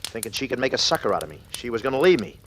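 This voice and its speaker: An actor playing a brooding, guilty and slightly unhinged man in a halting, dramatic 1940s radio style. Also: medium pitch, sing-song, a bit bright. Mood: bitter, sly. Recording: noisy old radio recording.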